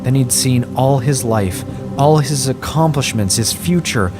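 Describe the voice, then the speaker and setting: A man reading a scripted horror story in a measured, somber first-person way. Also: medium pitch, over music. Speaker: story narrator.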